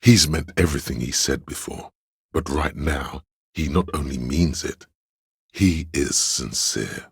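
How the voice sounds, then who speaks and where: Game role: scheming courtly adviser, extremely deep husky bass, grandiloquent and obsequious, close dry sound. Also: male voice, unhurried, no room sound, exaggerated voice. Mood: sly, confiding. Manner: theatrical, grandiloquent, addressing a liege. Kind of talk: scripted game voice lines, archaic courtly speech.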